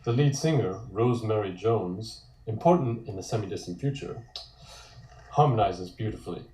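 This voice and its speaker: A man's voice: fairly low pitch, animated, moderate pace, dark tone. A man reading a comic script aloud as narrator. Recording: echoey room.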